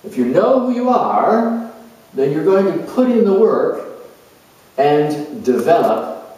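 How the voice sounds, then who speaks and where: A man playing an earnest, self-assured spiritual coach in a dramatized monologue, reflective and halting, with deliberate pauses. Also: medium pitch, darkish tone. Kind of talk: dramatized monologue as if answering an interview. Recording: distant mic in very echoey room.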